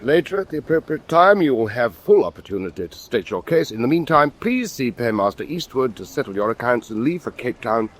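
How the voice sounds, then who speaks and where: An actor playing a stern senior naval officer in a period radio drama, formal, measured and unyielding. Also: male voice, mid-range voice, very animated. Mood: cold, official.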